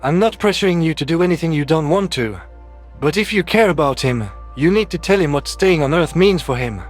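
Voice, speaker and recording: A man voicing a calm, candid, friendly male alien doctor in a science fiction audio story. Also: fairly high pitch, a little fast, faint music behind, close to an everyday voice. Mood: gently firm.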